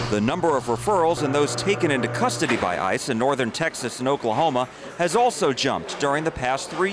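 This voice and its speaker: A man's voice: mid-range voice, very noisy. A man working as an investigative reporter, reading scripted news narration.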